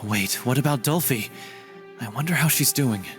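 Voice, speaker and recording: A man's voice: medium pitch, normal speed, a bit bright, big-room sound, faint music behind, natural voice. A man playing an earnest, courteous young troupe leader in a video game. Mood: concerned.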